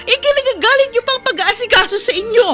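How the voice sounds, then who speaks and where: High-voiced, earnest Filipino daughter. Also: female voice, quick pace, fairly bright tone, faint music behind. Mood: tearful, exasperated. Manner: earnest, pleading. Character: caring, worried grown daughter of a widowed father. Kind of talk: Tagalog radio drama acting.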